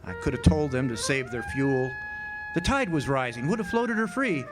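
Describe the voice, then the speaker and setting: Radio play: haunted male lighthouse keeper, played by a man. Jittery, halting delivery, dramatic pauses, music underneath. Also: on the high side, very animated, poor audio. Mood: grim resignation. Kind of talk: old-time radio drama monologue. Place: live amphitheater stage performance.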